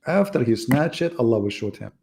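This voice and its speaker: Gravelly, mocking man on a live debate. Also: medium pitch, sing-song, a little fast, darkish tone. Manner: mocking, sarcastic rhetorical questions. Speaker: debate host. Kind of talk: live online debate monologue.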